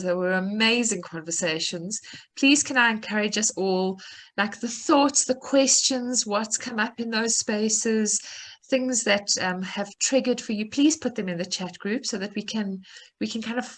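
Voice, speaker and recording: A woman's voice: mid-range voice, sharp tone. A woman moderating an online discussion on a video call, apologetic and encouraging as she moves the group along. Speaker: session moderator. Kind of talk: hosting an online dialogue.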